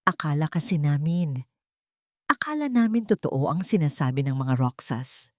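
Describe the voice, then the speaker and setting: A woman's voice: deep voice, very animated, moderate pace, mellow, dry recording, studio quality. Casting: woman to play timid old female neighbour, apologetic and scared, audiobook drama. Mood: fearful, apologetic. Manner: stammering, fearful.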